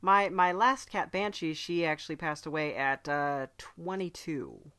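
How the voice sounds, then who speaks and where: A woman hosting a podcast in casual chat, with a dry, playful deadpan humour. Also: low-pitched, measured pace, smooth. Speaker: podcast host.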